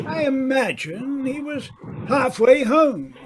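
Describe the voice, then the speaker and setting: A man playing a suave, knowing mystery writer in an old-time radio drama, speaking deliberately with dramatic pauses. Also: extremely high pitch, big pitch swings, heavy background noise, heavily acted. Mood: smug, genial. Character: suave, theatrical male mystery writer, a knowing dinner guest.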